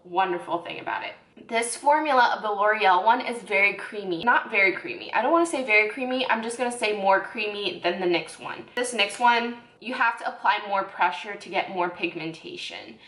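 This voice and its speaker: A woman's voice: medium pitch, pushed and bright. A young woman casually reviewing beauty products, chatty and self-correcting, with lots of "like"s. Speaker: beauty vlogger. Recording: echoey room.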